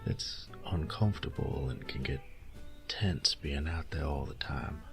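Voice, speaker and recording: A very deep-voiced boyfriend, played by a male voice actor, doting and playful as he speaks straight to his darling. His delivery is unhurried and drawling, swinging between teasing and tender coaxing in an intimate roleplay, with music softly behind him. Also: creaky, whispered, full character voice. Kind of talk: intimate scripted audio roleplay addressed to the listener.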